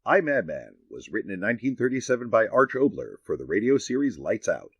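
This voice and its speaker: A man's voice: lowish voice, very animated, fast talker, gravelly, a little hiss or hum. A man reading scripted credits as the announcer of a radio drama. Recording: dull, slightly muffled, dry.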